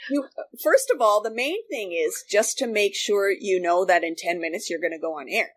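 A middle-aged woman, a guest reminiscing casually, explaining things in animated, repeating loops. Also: medium pitch, normal speed, a little hiss or hum. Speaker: guest, former radio studio technician.

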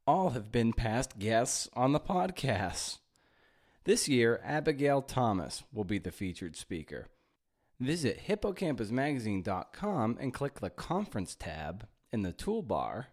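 A man's voice: medium pitch, very animated, a little slow, a little mellow. A man hosting a podcast, speaking in a punchy, emphatic way with playful exclamations. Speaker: podcast host. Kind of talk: podcast intro and interviewing.

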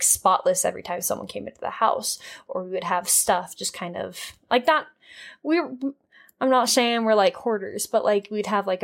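A young woman chatting on a podcast in a loose, rambling way, restarting her thoughts and dropping swear words without pause. Her pitch sits in the middle of the range and her delivery is unpolished. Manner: rambling, candid, swears freely, trails off and restarts. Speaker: podcast co-host. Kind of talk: casual podcast chat.

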